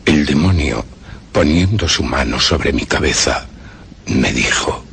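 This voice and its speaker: An actor playing a haunted narrator of a dark gothic fable, grave and brooding in radio horror style. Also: male voice, very deep voice, lively intonation, a little slow, creaky, a little hiss or hum, heavily acted.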